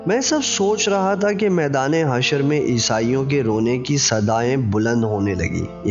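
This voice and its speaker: A man reading a religious novel as scripted narration, with a formal literary delivery. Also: mid-range voice. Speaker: audiobook narrator. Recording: dull lo-fi recording with music behind. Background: background music.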